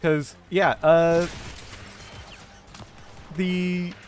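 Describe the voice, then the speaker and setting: Slow-talking male game streamer. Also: sits high, flat delivery, a little mellow, soft background music. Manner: halting, thinking aloud. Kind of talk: casual rambling commentary while gaming.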